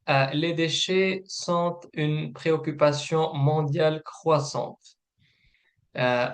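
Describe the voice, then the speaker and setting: A man presenting a scripted informational webinar in a formal, hesitant manner with frequent filled pauses. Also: fairly high pitch. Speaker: program manager presenting a webinar.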